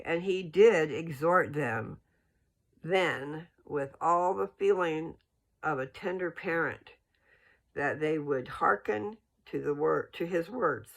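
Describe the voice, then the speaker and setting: Gravelly, creaky voice, informal and folksy. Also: mid-range voice, a bit bright, rough recording. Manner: informal, folksy, checks in with "okay?". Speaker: host reading scripture aloud and explaining it. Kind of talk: scripture read aloud with casual, rambling commentary.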